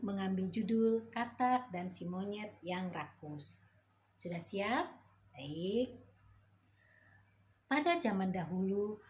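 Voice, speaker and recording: Woman, children's storyteller, gentle motherly narration, faint hiss. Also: medium pitch. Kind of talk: reading a children's fairy tale.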